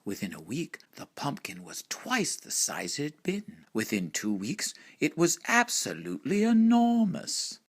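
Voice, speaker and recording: Gravelly, animated man reading a children's story. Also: a little high, unhurried, few pauses. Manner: expressive, dramatic emphasis with hushed phrases. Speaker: storybook reader.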